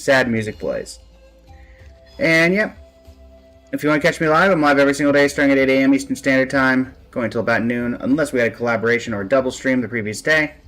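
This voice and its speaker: A man's voice: medium pitch, a bit bright. A man giving casual live-stream game commentary as the host, with a dry, resigned way of talking. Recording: music playing behind the voice. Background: background music.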